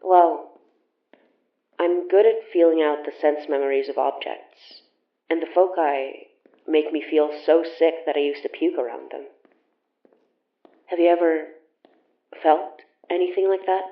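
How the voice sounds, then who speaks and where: A woman's voice: sits low, unhurried, long pauses. Audio drama: young female lead, tired yet defiant, wry and plainspoken, narrow-band echoey recording. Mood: wary, reluctant. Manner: casual, wry, blunt. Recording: muffled phone-like sound, roomy echo.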